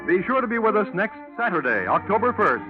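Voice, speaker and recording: A man's voice: high voice, very animated, a little fast. An American man announcing in a polished, promotional 1940s radio style, reading scripted credits and plugs. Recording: tinny 1940s radio broadcast, music behind.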